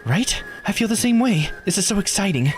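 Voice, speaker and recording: Excitable female guide, played by a man, raised pitch, informal, enthusiastic game dialogue, music behind. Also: a little fast. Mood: excited.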